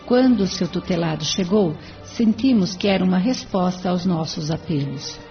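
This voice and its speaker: A woman's voice: on the low side, normal speed, soft background music, natural voice. An actress with a Brazilian accent voicing a serene, devout female spirit helper who explains things patiently in an audio drama. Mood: serene, instructive. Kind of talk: audio drama acting in Portuguese. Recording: dull lo-fi audio.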